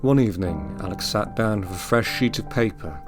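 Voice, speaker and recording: An actor playing the narrator of an audio drama, telling a solemn story in a measured, dramatic way. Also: male voice, lowish voice, animated, over music, natural voice.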